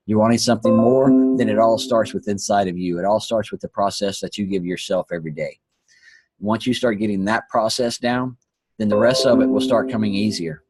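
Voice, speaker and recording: A hoarse man who is losing his voice hosts an earnest, preachy motivational talk and often repeats his phrases. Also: fairly low pitch, fairly quick, over loud music, low-quality recording. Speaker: host. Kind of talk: motivational talk on a live online call.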